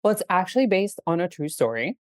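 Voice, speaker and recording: A woman's voice: on the low side, big pitch swings, quick pace, darkish tone, no room sound. Chatty woman from Greece, dry close mic. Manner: chatty, laughs easily, many "like"s and "you know"s. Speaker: game studio CEO, guest. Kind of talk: casual podcast interview.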